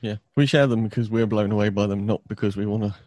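A man co-hosting a podcast, chatting in a relaxed, jokey way. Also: on the low side, fast, dry recording, studio quality. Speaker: podcast co-host. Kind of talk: casual podcast chat.

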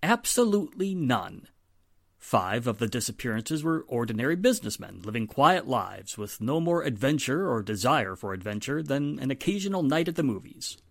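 An actor as a male police inspector, 1940s radio drama, weary, sardonic, emphatic, widely swinging pitch, dry close sound. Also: big pitch swings, normal speed, creaky, dry recording. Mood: matter-of-fact.

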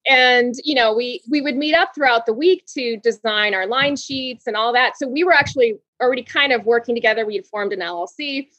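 A woman in her forties from Hawaii, a guest telling her story in an interview, bubbly and quick to laugh, restarting words. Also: a little high, a bit bright, no room sound, dull audio.

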